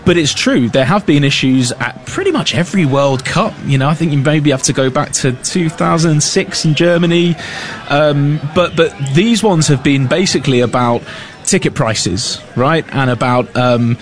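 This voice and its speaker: A man's voice: on the high side, animated, normal speed, soft background music. A man reporting live on business news, talking loosely with fillers and restarts. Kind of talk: live news cross, conversational.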